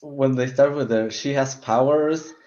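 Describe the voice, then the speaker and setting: A man's voice: mid-range voice, steady tone, measured pace, mellow, muffled recording. A man chatting casually as a panelist in a film discussion. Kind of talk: casual chat.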